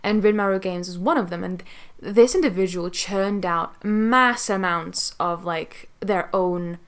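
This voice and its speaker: A woman's voice: medium pitch, a little slow, clear, resonant tone. A young woman co-hosting a casual podcast chat, speaking emphatically with plenty of swearing. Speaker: podcast co-host.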